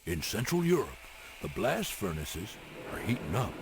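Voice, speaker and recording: An actor playing a crusty, teasing old American railroad man, narrating game cutscenes in a folksy, theatrical way with a gravelly voice. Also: lowish voice, sing-song, moderate pace, noisy background. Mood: storytelling. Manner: folksy, scolding, colorful old-time slang.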